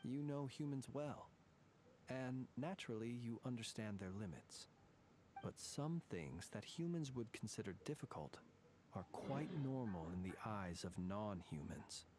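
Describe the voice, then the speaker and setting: An ancient male fae living as a human guard in a video game, voiced by a man. He speaks in a measured, formal way at a middling pitch, sometimes dropping to near whispers. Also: animated, background noise, close to an everyday voice. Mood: solemn. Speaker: lightkeeper guard.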